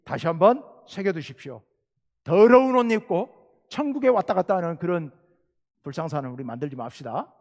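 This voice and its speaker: A man's voice: a little high, lively intonation, brisk, a little mellow. A middle-aged male pastor from South Jeolla with a Jeolla Korean accent, preaching a sermon with earnest emphasis and rhetorical questions. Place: church sanctuary. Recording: long church reverb.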